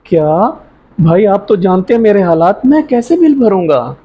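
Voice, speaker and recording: A man's voice: high-pitched, sing-song, a little hiss or hum. A man playing a humble, troubled uncle short of money in an amateur moral drama, plaintive and respectful. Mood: worried, protesting. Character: troubled, humble, hard-up younger brother and uncle. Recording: slightly dull lo-fi sound.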